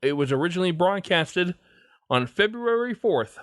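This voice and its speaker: A man's voice: high voice, very animated, moderate pace, a bit bright, no echo. A man hosting an old time radio podcast, reading scripted announcements. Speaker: podcast host.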